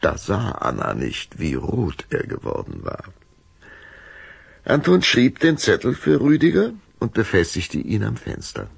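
A man with a gravelly, husky voice narrating a children's audio drama as an expressive, measured storyteller. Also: on the low side, no room sound.

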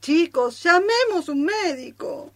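A woman's voice: very high voice, expressive, slow pace, a bit bright, heavily acted. An Argentine woman acting a plaintive, gullible and easily alarmed test subject in a radio play. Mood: pleading, alarmed. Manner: plaintive, panicky.

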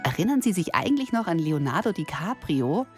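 A woman's voice: on the low side, big pitch swings, brisk. Woman, late thirties, ironic, self-mocking, scripted satirical monologue, music bed. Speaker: radio columnist and presenter.

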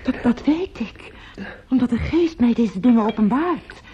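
An actress playing a tender, devout aunt in a radio play, speaking soothingly and earnestly in halting phrases. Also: female voice, a little high, lively intonation, warm tone, soft background music. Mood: earnest, hushed. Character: tender, devout aunt consoling a grieving young man. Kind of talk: intimate radio play acting. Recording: muffled, noisy old radio drama recording.

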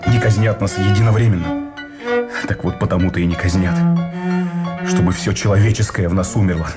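A stern Russian captain, a prisoner of war in a radio play. His deep voice is grave, disillusioned and measured. Loud cello music plays behind him. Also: animated. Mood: bitter accusation. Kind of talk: radio drama acting.

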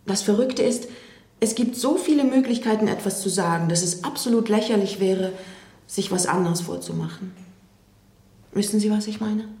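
An actress playing a reflective woman recalling a difficult man in a radio drama interview, speaking in a measured way. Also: medium pitch, mellow, echoey room, close to an everyday voice.

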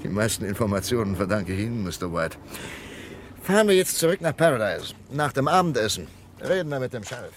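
An actor playing a confident, commanding FBI captain in an old radio crime drama. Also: male voice, medium pitch, sing-song, slight background noise, close to an everyday voice. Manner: commanding, brisk. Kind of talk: vintage radio drama acting.

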